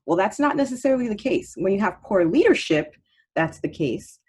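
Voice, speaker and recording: A woman's voice: mid-range voice, sing-song, fairly quick, darkish tone, husky. A woman from Haiti raised in Miami, a state representative speaking in a candidate forum, confident and assertive. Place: video call.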